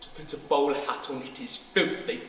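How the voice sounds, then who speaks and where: A troubled British teenage boy, performed by a young male actor delivers a stage monologue in a high, sharp-edged voice. He is intense and halting, moving between hushed brooding and explosive force. The sound is heavily reverberant, as in an empty hall. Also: steady tone, lightly acted. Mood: indignant, disgusted. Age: teens, about 16. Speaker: student actor. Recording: very echoey hall, distant mic, faint noise.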